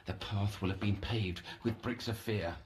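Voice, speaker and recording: An actor playing a brooding, obsessive psychiatric counsellor in an audio horror drama, theatrical and ornate, slipping into whispers and eerily tender. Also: male voice, fairly low pitch, steady tone, normal speed, noisy background, lightly acted.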